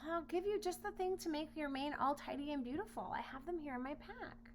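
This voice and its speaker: A woman playing a polite, quick-witted little boy in a children's storybook, earnest and coaxing as he explains. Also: high-pitched, lively intonation, pitched above the performer's own voice. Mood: eager, helpful. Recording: noisy home recording with hum.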